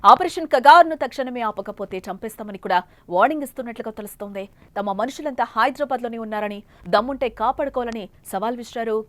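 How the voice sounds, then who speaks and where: A woman news anchor with a high voice delivers breaking news. Her delivery is emphatic and repetitive, partly off the cuff. The sound is close and dry. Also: no room sound.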